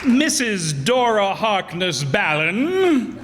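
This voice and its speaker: A man's voice: sits high, sing-song, slow pace. A man hosting a live show, casually and appreciatively reading out cast credits and thanks. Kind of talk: casual live curtain-call announcements. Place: town hall, live before an audience. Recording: echoey hall, loud crowd and noise. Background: loud crowd.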